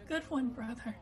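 Woman as high-voiced smug soap star twin. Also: fairly bright tone, exaggerated voice. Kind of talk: comedic radio sketch acting.

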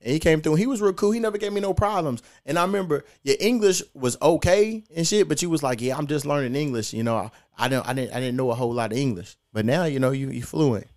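A man's voice: fairly high pitch, animated, quick pace, hardly any pauses, a little mellow. A young man, hosting a podcast and telling stories in a laid-back, profane, slangy way. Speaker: podcast host. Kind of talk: casual podcast storytelling.

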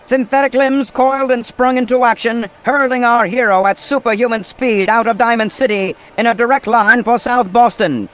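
An actor playing a grandiose, melodramatic narrator of an old-time pulp hero radio serial. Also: male voice, very high-pitched, fairly even intonation, normal speed, few pauses, fairly bright tone. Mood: grand, urgent. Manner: dramatic, grandiose.

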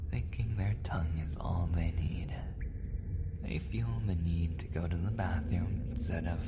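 A man voicing a clingy, jealous dog-boy maid in a roleplay ASMR, playful and cooing with gleeful menace, often slipping into breathy whispers. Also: lowish voice, heavy background noise. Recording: reverberant, with music behind the voice.

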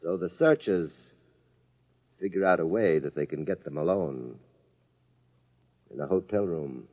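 An actor playing a fast-talking, falsely genial movie man with menace underneath, in 1950s radio drama style. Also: low-pitched, lively intonation, darkish tone, lo-fi sound, heavily acted. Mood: slyly menacing. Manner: glib, hearty, bossy, drawling out hints.

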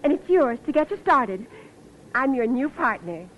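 Trusting sweetheart, highish lively voice, earnest, breezy, old radio play, muffled with faint noise. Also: female voice, normal speed. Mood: eager, earnest. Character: trusting, eager woman in love with schemer. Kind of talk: 1940s radio drama acting.

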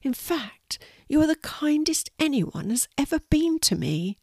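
A woman voicing a tiny, timid male spider in a children's storybook, gentle and sad, with a plaintive, tentative delivery. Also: high-pitched, very animated, measured pace, no echo, pitched above the performer's own voice, full character voice. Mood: grateful.

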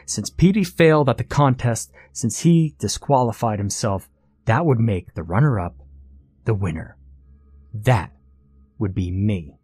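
A man's voice: on the low side, expressive, a little slow, darkish tone, husky, dry recording. A determined everyman played by a male narrator argues his case point by point. He is assertive and matter-of-fact, in a dry, close storybook recording. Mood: emphatic, triumphant. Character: determined, clever male contestant, a loophole-hunting everyman.

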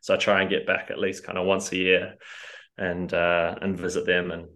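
Young guy, kiwi accent, low voice, loose chatty rambling with lots of "like". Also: completely flat delivery, moderate pace, fairly bright tone. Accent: New Zealand, with northern English roots. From north of England. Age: 20s. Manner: rambling, lots of fillers like "like" and "kind of". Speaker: triathlete guest. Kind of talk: casual interview storytelling.

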